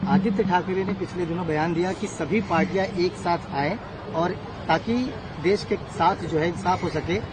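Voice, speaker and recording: A man's voice: high voice, steady tone, moderate pace, noisy background. A man working as a news reporter, putting questions at an outdoor press scrum. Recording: busy outdoor press scrum, chattering crowd.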